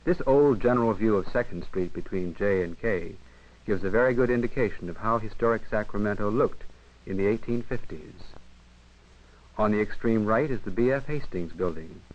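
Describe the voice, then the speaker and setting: An American man narrating a 1950s educational film in a measured, formal, literary manner. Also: on the low side. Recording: dull 1958 film soundtrack, radio-like.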